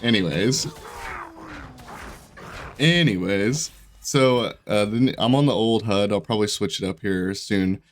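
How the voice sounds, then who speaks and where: Stuffy sick-sounding guy talking while gaming, kinda low voice, keeps rambling and restarting, lil bit of hiss behind. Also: unhurried, long pauses. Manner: rambling, self-interrupting, laughs often. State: congested, sore throat. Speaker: gaming YouTuber. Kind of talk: casual commentary while playing.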